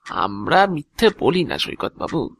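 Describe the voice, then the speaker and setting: Audio drama role: sly, fussy messenger of death played by a male actor, gravelly, slow and theatrical. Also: on the high side, very animated, a bit bright, slight background noise, heavily acted. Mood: calm, ominous. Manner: theatrical, unhurried, muttering and humming to himself. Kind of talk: Bengali audio drama acting.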